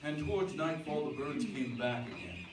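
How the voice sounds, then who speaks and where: A weary, grim man voiced by an actor tells his survival story in old-time radio style. His delivery is measured and dramatic, at a middling pitch, in an echoey space with clear background noise. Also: sing-song, far from the mic, natural voice. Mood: ominous. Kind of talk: old-time radio drama acting, first-person narration.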